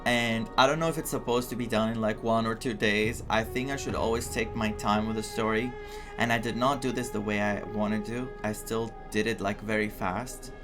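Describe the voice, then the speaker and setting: A man's voice: on the low side, fairly even intonation, moderate pace. A young man from Greece giving casual game playthrough commentary as a streamer, thinking aloud in a rambling way. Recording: game music behind the voice.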